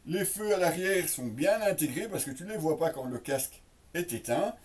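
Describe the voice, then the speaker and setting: A man with a somewhat high voice gives a casual product demonstration. He explains things off the cuff, with some hesitation, talking straight to his viewers. He sounds slightly distant from the microphone. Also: expressive, normal speed. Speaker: product reviewer and presenter.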